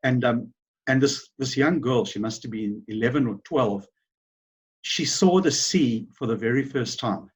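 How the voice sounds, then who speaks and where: A man's voice: medium pitch, measured pace, slightly rough. A middle-aged man with a South African accent, telling his story as a guest in an interview, rambling with many fillers and restarts. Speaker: business founder, guest.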